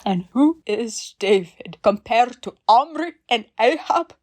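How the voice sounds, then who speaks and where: A woman's voice: fairly high pitch, lively intonation, takes it slowly, a little mellow. An actress playing a proud, ruthless ancient queen in an audio drama, imperious and theatrical in manner. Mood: resentful, proud.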